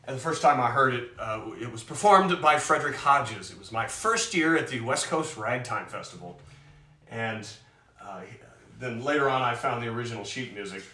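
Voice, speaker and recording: A man with a loud speaking voice, hosting a live stream and chatting casually and self-mockingly between songs. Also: mid-range voice, animated, moderate pace. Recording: roomy sound from a distant mic.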